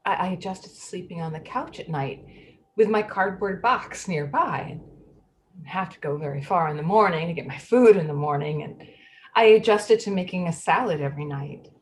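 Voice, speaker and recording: A woman playing an anxious, slightly odd middle-aged woman who speaks haltingly in a play reading. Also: lowish voice, lively intonation, slight background noise, close to an everyday voice. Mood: wistful, subdued. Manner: halting, repeating words, fretful.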